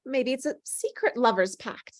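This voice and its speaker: A woman's voice: high-pitched, animated, moderate pace, dry recording. Woman acting wry, melancholy older lady ghost. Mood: coaxing, sly. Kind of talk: acted table read of a TV script.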